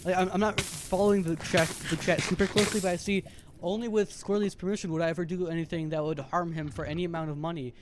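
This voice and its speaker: A man with a very high voice giving casual, chatty live stream commentary as he plays a video game. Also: fast, background noise. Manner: chatty, self-narrating while playing, easily animated.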